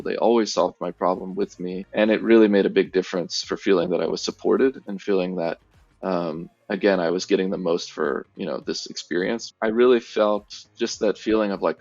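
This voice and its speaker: Gravelly low-voiced American man in his thirties. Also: no room sound, dull audio. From United States. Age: 30s. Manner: easygoing, hesitant, many fillers. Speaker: former bootcamp student. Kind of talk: testimonial interview.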